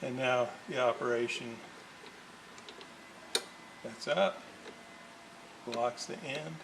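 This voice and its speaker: A man giving an unscripted hands-on workbench demonstration as a hobbyist restorer, haltingly thinking aloud as he works. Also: medium pitch. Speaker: hobbyist restorer presenting a repair video. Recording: noisy room recording with clear background noise.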